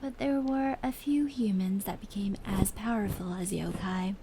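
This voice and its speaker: A woman voicing a playful, caring fox spirit in a soft, breathy ASMR roleplay, gentle and teasing as she tells stories. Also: mid-range voice, moderate pace, natural voice, poor audio. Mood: calm storytelling. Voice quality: soft, breathy, often near whisper. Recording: steady rain and brushing noise layered in. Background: indoor rain and brushing sounds.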